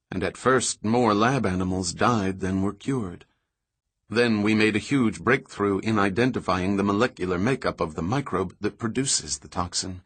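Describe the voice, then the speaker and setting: A man's voice: fairly low pitch, fairly bright tone, slightly rough. A man reading as a guarded, tired woman scientist. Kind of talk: audiobook dialogue reading.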